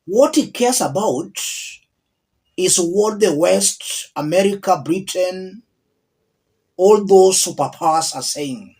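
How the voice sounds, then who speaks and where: Slow, emphatic Kenyan man with swooping intonation. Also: sits high, animated, darkish tone. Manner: emphatic, deliberate, repeats key phrases. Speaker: political commentator, channel host. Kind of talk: political video commentary addressed to viewers.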